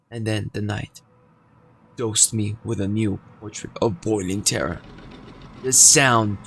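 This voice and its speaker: A man's voice: medium pitch, sharp tone. A young man playing a horror visual novel, reading the narration aloud with suspenseful emphasis. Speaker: gaming video creator.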